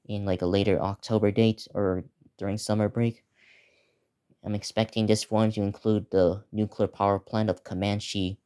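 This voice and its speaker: Teenage male, slightly low voice, dark soft tone, casual vlog preview, halting, repetitive delivery. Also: smooth. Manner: halting, repetitive, listing place names. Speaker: video creator previewing upcoming videos.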